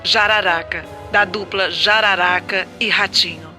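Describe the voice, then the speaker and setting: Piercing, stern official announcer. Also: male voice, sits high, fairly even intonation, unhurried, sharp tone, faint music behind, full character voice. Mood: solemn, official. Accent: Brazilian. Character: stern official announcer proclaiming a dismissal list. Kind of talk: formal announcer reading a decree and roll of names.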